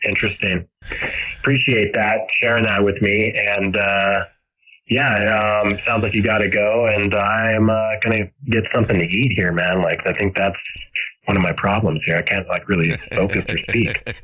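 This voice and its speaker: A dull, band-limited radio transmission of a man with a very deep, husky voice. He chats casually and haltingly, with a self-deprecating manner. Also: fairly even intonation, sharp tone. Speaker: amateur radio operator. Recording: dull, husky-sounding ham radio signal.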